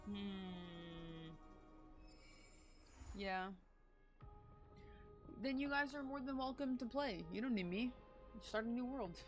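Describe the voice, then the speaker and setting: A woman's voice: a little high, expressive, slow, long pauses, highs cut off. Casual woman streamer over faint game music. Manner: blunt, frequent swearing. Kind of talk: casual livestream reaction commentary. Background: video game music.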